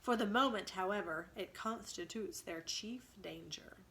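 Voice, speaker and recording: A woman reading a storybook aloud as a teacher, in an expressive, instructive way. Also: mid-range voice, measured pace. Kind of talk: storybook read-aloud with explanations.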